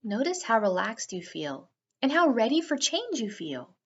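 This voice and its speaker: A woman narrating a guided meditation for children in a soothing, unhurried way with drawn-out phrasing. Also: on the high side, very animated, breathy edge, lo-fi sound.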